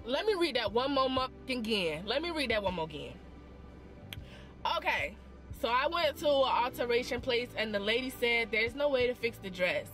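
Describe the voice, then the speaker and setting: Animated young woman telling a story in her car. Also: fairly high pitch, soft background music. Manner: expressive and dramatic, slangy, quick asides. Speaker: vlogger. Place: parked car.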